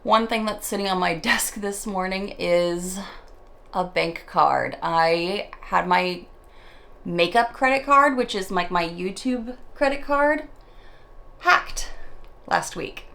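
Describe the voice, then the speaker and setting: Raspy-voiced woman chatting through her makeup. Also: medium pitch, slow pace, fairly bright tone. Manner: nervous, self-deprecating, rambling with stumbles. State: raspy morning voice. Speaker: beauty vlogger. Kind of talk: chatty get-ready-with-me monologue.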